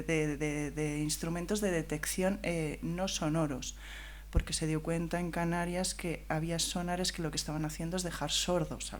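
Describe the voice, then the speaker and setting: A woman on a panel, walking listeners through her art project in a thoughtful, explanatory way. Her voice sits somewhat low, and a faint hum lies under the room. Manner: explanatory, thoughtful, with light laughs. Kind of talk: round-table talk. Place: press room at a theatre.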